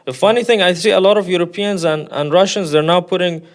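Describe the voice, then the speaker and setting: A man's voice: high-pitched, quick pace. A man speaking as a podcast guest in an opinionated discussion, making his points emphatically and repeating them.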